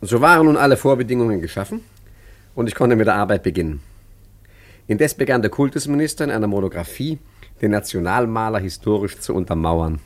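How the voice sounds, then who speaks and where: A low male voice of an actor as a wily, urbane art forger in a radio play. He is obliging and deferential, with dry understatement. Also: lively intonation, brisk, lightly acted. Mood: wry narrating. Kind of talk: German radio play acting and narration.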